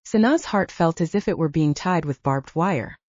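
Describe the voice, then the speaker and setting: A woman's voice: low-pitched, expressive, moderate pace, a little mellow, no echo. A woman reading scripted fiction as an audiobook narrator, in a slightly dull recording.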